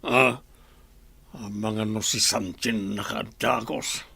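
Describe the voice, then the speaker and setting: A man playing a gruff, taunting henchman with a raspy, sharp voice in an Ilocano radio drama. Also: on the low side, fairly even intonation, slow, no room sound, heavily acted. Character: gruff, taunting male henchman of a crime boss.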